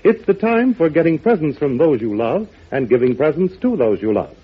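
A man's voice: on the high side, sing-song, moderate pace, breathy edge. A man announcing on old-time radio, earnestly and chummily addressing young listeners. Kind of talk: 1940s children's radio address. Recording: thin phone-like old radio recording.

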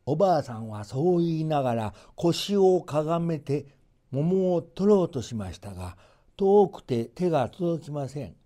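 A man narrating a folktale expressively, with measured storybook phrasing and playful emphasis. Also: fairly high pitch, big pitch swings, warm tone.

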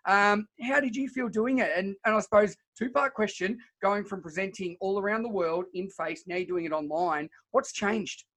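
A man's voice: high voice, normal speed, hardly any pauses, fairly bright tone. A man hosting a podcast, speaking in an enthusiastic, effusive and conversational way. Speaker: podcast host. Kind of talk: upbeat conversational podcast interview.

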